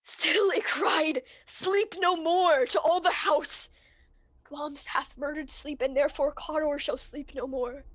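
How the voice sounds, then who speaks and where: A young woman playing a guilt-stricken, fearful lord who has turned murderer, in slow, dramatic amateur Shakespearean verse. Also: high voice, fairly even intonation, no room sound. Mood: haunted, horrified. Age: young adult. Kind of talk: amateur student Shakespeare performance, recorded remotely.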